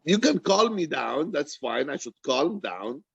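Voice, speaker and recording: A man's voice: fairly high pitch, sing-song, measured pace, gravelly, a bit bright, breathy edge, no echo, muffled recording. A man, an author, talking candidly and passionately about his writing, emphatic and often repeating himself for stress. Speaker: author and poet answering audience questions. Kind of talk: animated, candid book-reading discussion.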